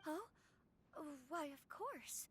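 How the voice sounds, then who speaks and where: High-voiced refined noble lady. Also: very slow, slight background noise, heavily acted. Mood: curious, polite. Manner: polite, genteel, expressive. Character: refined, courteous noble lady, gently curious. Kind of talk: scripted video game voice acting.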